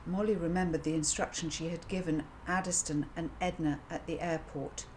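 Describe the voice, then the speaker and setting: A woman's voice: low-pitched, steady tone, normal speed, darkish tone, poor audio. A woman narrating a children's story as an author reading their own book. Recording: audible background noise.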